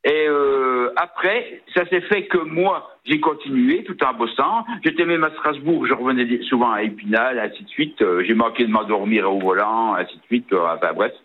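A man's voice: medium pitch, normal speed, hardly any pauses, a little hiss or hum. A man in his sixties from the Vosges with a regional accent, calling in to a radio show and talking hesitantly, with many fillers and restarts. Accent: Vosges French. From Vosges, France. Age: 60s. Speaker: caller. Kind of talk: phone-in call to a radio show. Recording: tinny phone line.

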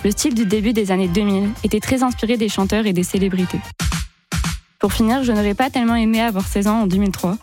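A woman's voice: medium pitch, fast, music in the background. A teenage girl reading a scripted radio column aloud. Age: teens. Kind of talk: scripted read segment.

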